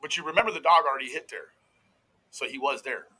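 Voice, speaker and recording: A man's voice: medium pitch, fast, sharp tone, husky. A man giving casual, profane reaction commentary as host of a true crime show, in a brash, folksy, slangy way.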